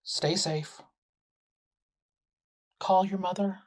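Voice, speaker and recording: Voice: mid-range voice, fairly even intonation, drawn-out words. An actor playing a weary, concerned middle-aged father leaving a pleading phone message in an audio drama.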